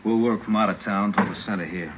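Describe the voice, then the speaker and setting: An actor playing a businesslike federal narcotics agent in an old radio drama, clipped and matter-of-fact. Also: male voice, lowish voice, fast, natural voice. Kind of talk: 1950s radio acting. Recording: noisy, dull old radio recording.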